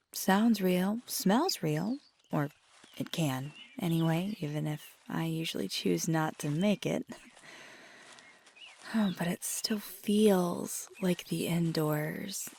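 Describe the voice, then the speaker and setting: A woman playing a feisty, affectionate magical girlfriend in an intimate ASMR roleplay, speaking softly and tenderly, often near a whisper. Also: fairly low pitch, slow pace, vocal fry, long pauses, slight background noise. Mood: playful, wistful. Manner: tender, teasing, lapsing into whispers.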